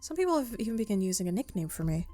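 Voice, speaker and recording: Woman, let's play reader, casual asides, read-aloud narration, mid-pitched female voice, soft background music. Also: very animated, very fast. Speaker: video game player reading aloud and commenting.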